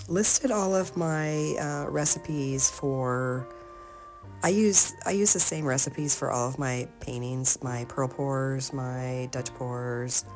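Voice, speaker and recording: Very low-voiced, slightly hoarse woman. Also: a little mellow. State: slightly hoarse from being sick. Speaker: host of an art tutorial channel. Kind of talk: casual painting tutorial narration.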